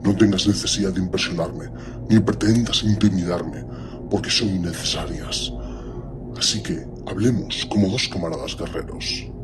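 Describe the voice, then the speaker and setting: A man's voice: deep voice, steady tone, moderate pace, over music, lightly acted. A man playing an ancient superhuman warlord in an audio drama, speaking with cold, commanding disdain and menace. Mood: scornful. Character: ancient imperious superhuman warlord primarch, menacing and coldly condescending.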